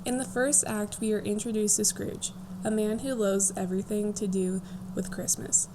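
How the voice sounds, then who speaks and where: A woman's voice: mid-range voice, fairly even intonation, normal speed. A teenage girl, a student narrator, reading scripted narration for a radio drama. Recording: faint background noise.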